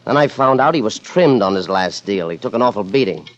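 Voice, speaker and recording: A dull vintage broadcast with faint music behind has a male actor as a guarded investor facing police. His voice sits a little low and he speaks with careful, hesitant politeness in old radio drama style. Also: animated, brisk, close to an everyday voice. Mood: uneasy, rueful. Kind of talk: 1950s radio crime drama acting.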